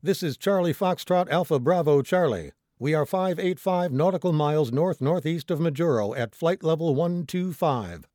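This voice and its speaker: A man's voice: a little high, moderate pace, few pauses, dark tone, no room sound, clean studio sound, voice pushed up, lightly acted. A man voicing a desperate, panic-stricken castaway pilot in an audiobook, crying out urgently and emphatically. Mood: urgent distress.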